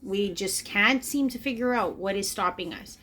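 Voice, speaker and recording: A woman's voice: medium pitch, moderate pace, bright, forceful tone, slight background noise. Earnest woman in her late thirties, confessional. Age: late 30s. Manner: earnest, confessional, emphatic repetition. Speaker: spiritual vlogger. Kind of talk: personal reflective monologue to camera. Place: indoors, in front of a camera.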